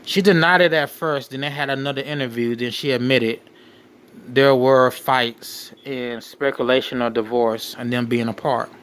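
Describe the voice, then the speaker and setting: A man hosting a show, reading a document aloud with off-the-cuff commentary in a halting way, repeating and rephrasing as he goes. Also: mid-range voice, fairly even intonation, fairly bright tone, smooth. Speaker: late night show host.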